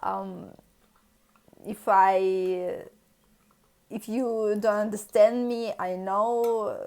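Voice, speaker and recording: Woman, Russian accent, very slow, hesitant, searching for words, lots of fillers. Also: medium pitch, creaky, darkish tone. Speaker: vlogger. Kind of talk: halting casual talk in a second language.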